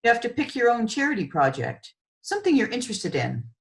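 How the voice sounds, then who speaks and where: A woman's voice: fairly high pitch, sing-song, a little fast, voice pushed up. A woman reading the part of an earnest, unsure twelve-year-old boy in a storybook, slightly hesitant. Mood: burdened.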